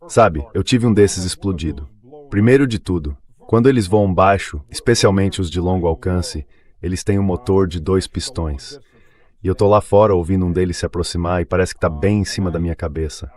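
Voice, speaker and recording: A man's voice: sits low, a little fast, a little mellow. A man voicing a dubbed translation of an interview guest, explaining things in a conversational, anecdotal way.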